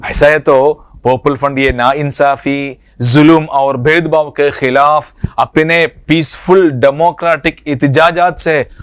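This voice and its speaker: A man giving a public political speech as an organisation leader, in deliberate broken phrases with emphatic repetition and rhetorical questions. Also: a little high, no echo.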